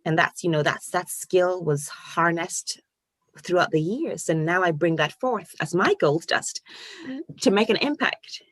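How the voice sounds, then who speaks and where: A woman from Ghana in her forties, an online course coach telling her story in an animated, casual way with restarts and fillers. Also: fairly low pitch, measured pace, dry recording. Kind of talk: casual interview chat.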